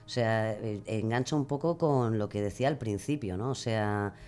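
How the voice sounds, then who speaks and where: A woman's voice: a bass voice, normal speed, warm tone, faint music behind. A woman musing hesitantly and philosophically as a podcast guest. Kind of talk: conversational interview answers.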